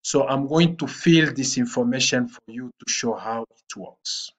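Slow, halting man giving a screen tutorial. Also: medium pitch. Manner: slow and halting, with frequent "uh" fillers. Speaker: online platform trainer. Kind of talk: screen-recorded tutorial walkthrough.

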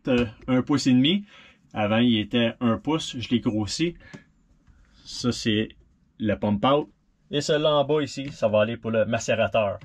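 Québécois man in his thirties, DIY boat vlogger; relaxed, talky, lots of fillers, close action-camera sound in a small cabin. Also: medium pitch. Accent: Québécois French. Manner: relaxed, thinks aloud with fillers, mixes in English boat terms. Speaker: sailboat owner showing a refit. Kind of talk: casual how-to explanation.

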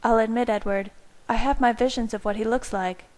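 A woman reading the part of an earnest, tender young pregnant woman, speaking gently and reassuringly. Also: fairly high pitch, fairly even intonation, brisk, voice pushed up, close to an everyday voice.

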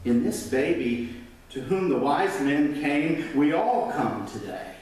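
A man's voice: a little high, sing-song, slow pace, darkish tone. A middle-aged man, a pastor preaching a sermon at the pulpit, swelling up and then dropping to a near whisper. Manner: gestures widely at the pulpit. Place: large church sanctuary. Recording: echoey church from a distance.

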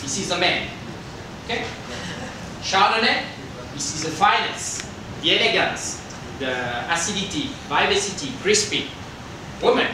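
A middle-aged man from France with a French accent, presenting a tasting to a group as a winemaker in halting, simply phrased non-native English. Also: sits high, expressive. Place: indoor hotel function room. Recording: roomy, distant microphone with background noise.

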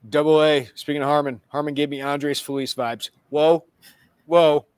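A man's voice: a little high, little pitch movement, normal speed, clean tone, no echo. A man in his thirties hosting a sports podcast, reading out viewer questions in a chatty, joking way. He talks from a small room at home over a video call, wearing earbuds. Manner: chatty and joking, laughs between lines. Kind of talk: casual sports talk. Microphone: earbuds with a webcam on a video call.

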